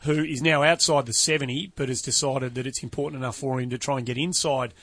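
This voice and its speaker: Animated Australian man hosting a golf podcast. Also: mid-range voice. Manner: animated, emphatic, runs through stats quickly. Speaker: podcast host. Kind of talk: casual sports chat.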